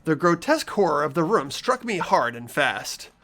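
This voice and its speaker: An expressive narrator reading a game story and chatting casually in a group playthrough. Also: medium pitch, moderate pace.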